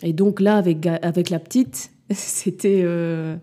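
Hesitant, dark-voiced woman turning forty. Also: on the low side, fairly even intonation, measured pace. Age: 40s. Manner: hesitant, many fillers and restarts. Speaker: interview guest, mother and business owner. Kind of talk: reflective conversational interview.

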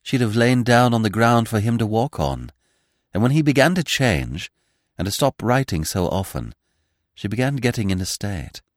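Frank, remorseful young woman, played by a low-key male reader: earnest, confessional audiobook letter, dry and close. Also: very animated, normal speed, no echo. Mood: weary, regretful. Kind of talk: audiobook character reading.

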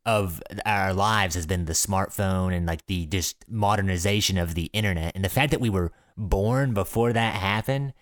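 Young male, lowish voice, close dry sound, casual podcast-style chat with stop-start phrasing. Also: moderate pace, few pauses, no room sound. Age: young adult. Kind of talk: casual chat among friends.

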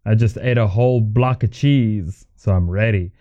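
A man's voice: lowish voice, normal speed, dark tone, dull audio. A man co-hosting a podcast in casual banter, his voice slightly scratchy and nasal from an oncoming cold. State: coming down with a cold. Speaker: podcast co-host.

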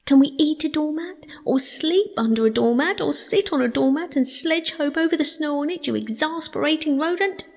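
A whiny male mole from a children's story, acted out by a woman. The voice is lifted high and slightly husky, full of miserable, pettish complaint from a plain-spoken, literal-minded creature. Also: very animated, normal speed, big-room sound, exaggerated voice. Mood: sarcastic, pettish.

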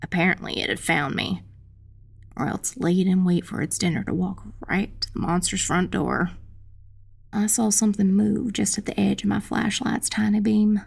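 A woman playing a determined witch who narrates her own dark fantasy audiobook tale in a measured, dramatic, husky and creaky voice. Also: mid-range voice, fairly even intonation. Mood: tense narrating. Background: music.

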